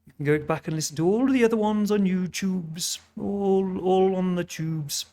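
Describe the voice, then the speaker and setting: A British man with a sniffly, hoarse voice, tired and chatty in a self-mocking way, who hosts a storytelling show and reads an epic poem aloud. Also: high-pitched, normal speed, mellow. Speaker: storyteller host. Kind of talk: casual intro, then reading an epic poem aloud.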